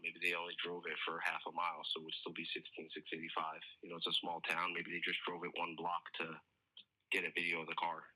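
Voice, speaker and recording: Deep, sharp-voiced male detective, talking fast. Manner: informal, explanatory, hedging. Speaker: police detective. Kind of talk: casual work phone conversation. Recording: recorded phone call.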